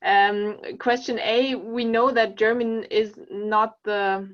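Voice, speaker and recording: A woman's voice: mid-range voice, steady tone, slow, highs cut off. A woman answering questions on a company panel, friendly and hesitant, with many fillers. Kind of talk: panel discussion.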